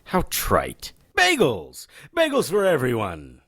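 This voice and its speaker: An actor playing a cocky, sardonic occult investigator in an audio drama, with a wry, taunting delivery. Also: male voice, mid-range voice, wildly swooping pitch, slow, fairly bright tone, breathy edge, no room sound, studio quality, full character voice. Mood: playful, showy. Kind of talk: audio drama acting with noir-style narration.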